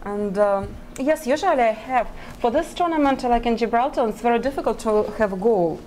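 A woman's voice: a little high, a little mellow, a little hiss or hum, low-quality recording. A woman in her thirties, a native Russian speaker who grew up in Ukraine, answering interview questions as a chess player in hesitant English. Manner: hesitant, halting, laughs often between phrases. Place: indoor press area before a sponsor backdrop. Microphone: desk microphone.

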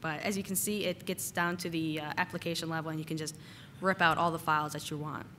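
A woman giving a casual technical conference talk, slightly hoarse from a cold and using lots of "uh" and "um" fillers. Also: low voice, steady tone, fast talker, a bit bright, a little hiss or hum. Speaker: conference speaker. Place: conference hall.

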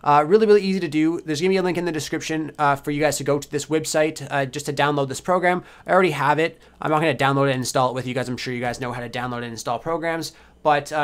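Male tutorial host, quick delivery, slightly higher-pitched voice, casual step-by-step explaining. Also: smooth. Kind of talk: casual software tutorial narration.

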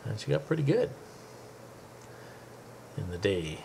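Easygoing low-voiced dad narrating home video. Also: male voice, sing-song, unhurried, gravelly, warm tone, slight background noise. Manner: easygoing, chatty, with fillers. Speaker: father filming a family outing. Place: outdoors by a lake.

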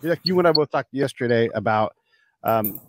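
A man's voice: medium pitch, expressive, moderate pace, fairly bright tone, no room sound. An American man hosting a podcast, talking in a casual, conversational interviewing style. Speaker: podcast host.

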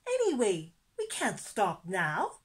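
A woman voicing a cheerful, adventurous little boy fish in a children's storybook, excited and reassuring. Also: mid-range voice, takes it slowly.

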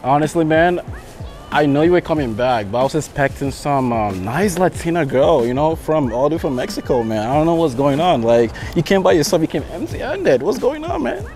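A man's voice: on the high side, big pitch swings, brisk, darkish tone. Hyped, slangy young man vlogging over music. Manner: repeats "you know what I'm saying", joking, hyped. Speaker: vlogger, host. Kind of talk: casual vlog chat with heavy street slang. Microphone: camera held at arm's length.

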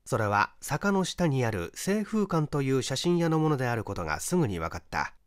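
A man reading a mystery story aloud as a dramatic audiobook, with measured, deliberate storytelling. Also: medium pitch, clear, resonant tone, no room sound, clean studio sound. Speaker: story reader, small-theatre performer.

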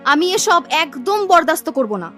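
A woman's voice: high voice, sing-song, moderate pace, sharp tone, faint music behind, full character voice. A woman voicing a mean, stingy daughter-in-law in a children's cartoon, with a sneering, sarcastic manner. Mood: scornful.

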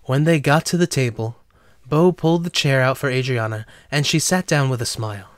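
Mid-pitched man narrating a story. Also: brisk, no echo, studio quality. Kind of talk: scripted storybook narration of an audio drama.

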